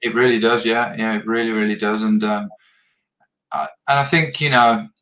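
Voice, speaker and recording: A man hosting a live video podcast interview, earnest and warm in his praise, often repeating words as he gathers his thoughts. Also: fairly low pitch, steady tone, moderate pace. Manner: earnest, repeats words when searching for phrasing. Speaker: podcast host.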